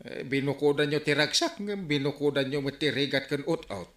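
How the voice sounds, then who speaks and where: A man's voice: on the high side, moderate pace, reverberant, natural voice. A man playing a fussy, scolding village captain with an exclamatory delivery in a comedic radio drama. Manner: animated, exclamatory.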